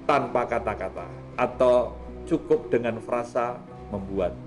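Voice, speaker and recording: Medium-pitched male host. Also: measured pace, loud music behind the voice. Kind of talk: conversational talk show discussion.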